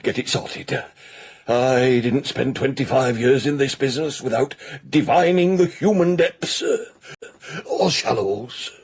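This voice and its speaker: An actor playing a blustering, cocky retired private investigator with a Northern English accent, gravelly and pompous, in a radio drama. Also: male voice, mid-range voice, a little slow, darkish tone. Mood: self-important. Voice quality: gravelly, raspy. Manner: pompous, patronising.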